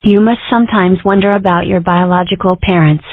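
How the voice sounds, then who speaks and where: A woman voicing a young, skeptical fox girl in a cartoon comic dub, with wry, deadpan delivery. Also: lowish voice, brisk, no room sound, lightly acted. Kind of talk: scripted comic-strip dub. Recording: dry, tinny phone-like audio.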